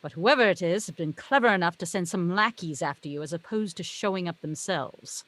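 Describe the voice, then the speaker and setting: A woman's voice: fairly low pitch, a little fast, a bit bright, close to an everyday voice. A woman reading the part of a wry, knowing, articulate heavenly muse in a film script read-through. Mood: wry explaining.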